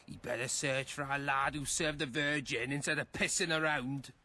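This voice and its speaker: An actor playing an angry, coarse peasant rabble-rouser in a video game, rustic and belligerent. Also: male voice, medium pitch, fairly even intonation, moderate pace, bright, forceful tone. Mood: surly, defiant. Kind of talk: video game dialogue acting.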